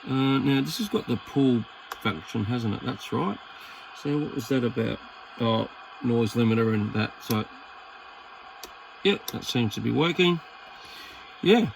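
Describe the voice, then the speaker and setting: A man's voice: mid-range voice, lively intonation, measured pace, vocal fry, long pauses, a little hiss or hum, rough recording. A man with an Australian accent chatting casually and hesitantly as he presents a hobby video, rambling in a laid-back way. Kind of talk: casual chat while demonstrating equipment.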